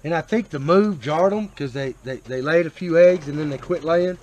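A man's voice: fairly high pitch, moderate pace, darkish tone, slight background noise. An American man chatting casually and explaining outdoors on a farm, often repeating his phrases, picked up by a nearby action camera. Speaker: homesteader showing his farm. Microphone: picked up by a nearby handheld action camera.

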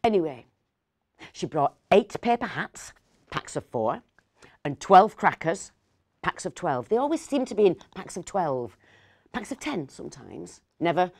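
A woman's voice: on the low side, big pitch swings, a little slow, darkish tone, slightly rough, full character voice. Rambling, fussy woman, acted. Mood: musing, fretting. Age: middle-aged. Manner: hesitant, digressive, self-correcting. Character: rambling, fussy, kindly woman host of Christmas dinner. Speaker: actor reading a story. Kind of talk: dramatic storytelling monologue. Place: indoors, seated in an armchair.

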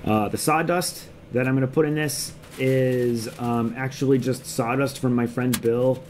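A man's voice: medium pitch, steady tone, a little slow. A man in his thirties casually narrating a how-to homestead vlog in a basement workshop, easygoing and full of ums. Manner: easygoing, rambling, many ums. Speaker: homestead vlogger. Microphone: action camera on the body. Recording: noisy basement with chickens clucking. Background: chicks cheeping.

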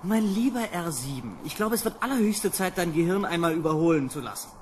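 A man's voice: high-pitched, expressive, fairly quick, slight background noise. A man playing an exasperated, sardonic space lieutenant who bosses his crew in an old children's radio play. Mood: irritated, sardonic. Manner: sardonic, bossy. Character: exasperated young space lieutenant commanding a landing boat.

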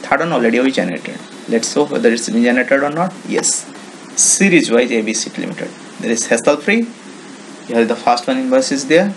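Male software demonstrator, Indian accent, creaky voice, halting unscripted tutorial delivery, slightly noisy screencast audio. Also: mid-range voice, animated. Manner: halting, non-native English phrasing with repeated check words.

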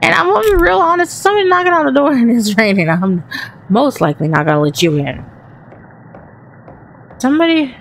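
A woman with a Southern accent and a raspy voice chats to the ghosts while playing a horror game. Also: high voice, sing-song, brisk, long pauses, slight background noise. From Texas. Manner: talks to the game and its ghosts, jumpy and chatty. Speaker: gaming channel host. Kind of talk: horror game let's play commentary.

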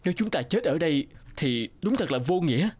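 A man's voice: fairly high pitch, lively intonation, brisk, darkish tone, husky. A man voicing a level-headed young captive swordsman in a cartoon, earnest and persuasive. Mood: determined, hopeful. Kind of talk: dramatic cartoon dubbing.

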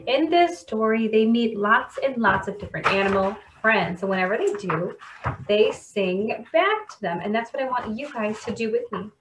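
A woman's voice: a little high, animated, moderate pace, background noise. A woman in her thirties, a teacher reading a picture book to children at home with encouraging questions. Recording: distant, muffled home webcam recording.

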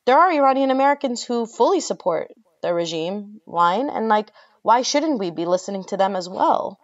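A woman's voice: medium pitch, animated, normal speed, no room sound. Earnest woman guest on dry lo-fi audio. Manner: earnest, argues through rhetorical questions. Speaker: academic guest. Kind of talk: conversational podcast interview. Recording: dry, dull lo-fi remote audio.

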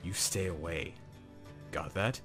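A very low-voiced middle-aged father and werewolf hunter, acted by a man, speaks with formal, measured menace. He sounds stern and in command, like the heavy of a scripted roleplay drama, with music underneath. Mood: stern threat. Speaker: voice actor in a roleplay series.

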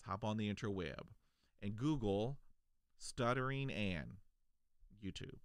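A man in his forties who stutters, talking earnestly and encouragingly to camera from a home podcast studio on a boom mic. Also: no echo. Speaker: vlogger, podcast host. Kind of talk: personal talk to camera. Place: home studio room, indoors. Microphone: large podcast mic on a boom arm.

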